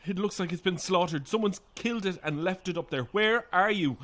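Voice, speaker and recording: A woman's voice: lowish voice, fairly quick, fairly bright tone, slight background noise, close to an everyday voice. An actress in a horror audio drama playing a frightened, unravelling woman, anxious and moving between whispers and cries. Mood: panicked. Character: frightened, unravelling woman trapped on an empty housing estate.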